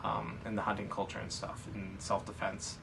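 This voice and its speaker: A man with an American accent and a fairly low voice talks casually as a podcast co-host. He explains things in a loose, rambling way. He sounds away from the microphone, with some faint noise. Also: completely flat delivery, moderate pace, gravelly. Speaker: podcast co-host answering listener questions. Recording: distant mic, background noise.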